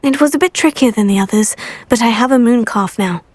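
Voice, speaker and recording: A woman's voice: on the high side, fairly quick, a little mellow. Polite young woman. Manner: polite and composed. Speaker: player character. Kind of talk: video game voice acting. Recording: faint game music behind.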